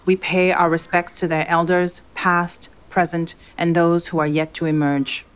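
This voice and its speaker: A woman from East Africa narrating a scripted documentary as host, in a measured, serious manner. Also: fairly low pitch, level tone, clean tone. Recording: music bed behind narration. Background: music.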